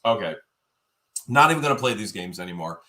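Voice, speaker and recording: A man co-hosting a casual livestream, telling stories in an animated, joking way, his voice a little worn from overuse. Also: lowish voice, a little fast. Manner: animated, jokey, quotes people in his stories. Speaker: co-host of a livestream. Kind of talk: casual storytelling chat.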